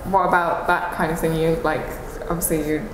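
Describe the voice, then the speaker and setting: A woman interviewing a guest, asking quick, chatty questions with frequent fillers. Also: low voice, level tone, echoey room, in a large hall, background noise.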